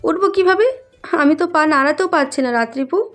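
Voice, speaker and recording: A woman voicing a sharp-tongued, complaining young woman in an audio story, with a pointed, argumentative manner. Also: high voice, expressive, a little fast, soft background music. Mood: whining.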